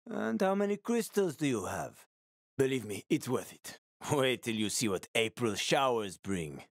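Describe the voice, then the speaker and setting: Streetwise middle aged survivor dude from a video game, sly n teasing, normal male pitch, super dry sound. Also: dry recording. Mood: sly, joking. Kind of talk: video game voice acting, conversational.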